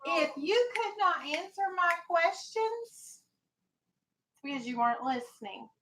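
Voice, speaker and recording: A woman's voice: extremely high pitch, sing-song, takes it slowly, a bit bright, slight background noise, rough recording. A woman teacher with a firm, instructive manner, reading a children's storybook aloud and talking to her class in a classroom. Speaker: elementary school teacher.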